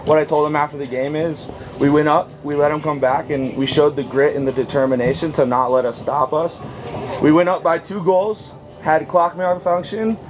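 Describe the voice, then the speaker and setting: Upbeat head coach at an outdoor press table. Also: male voice, fairly high pitch, fairly even intonation, normal speed, noisy background. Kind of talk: postgame press conference.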